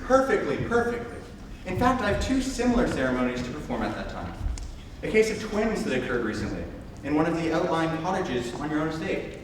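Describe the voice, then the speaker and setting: A man's voice: on the high side, sing-song, fairly quick. A young man playing an unctuous, pompous but kindly country clergyman in an amateur reading of a stage comedy. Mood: unctuous, solicitous. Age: young adult. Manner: pompous, solicitous, repeats himself. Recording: echoey hall, distant mic, clear noise.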